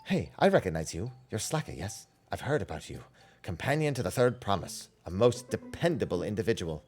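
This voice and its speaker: A man voicing a boastful, smooth-talking merchant from a video game, with florid sales patter. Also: on the low side, big pitch swings, brisk, darkish tone, voice pushed down. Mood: boastful, coaxing. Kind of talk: game character dialogue read aloud.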